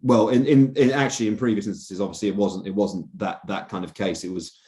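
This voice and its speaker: Low, dark-voiced young man, halting. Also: steady tone. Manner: halting, many restarts and fillers, earnest. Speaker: journalist, interview guest. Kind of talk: casual podcast conversation.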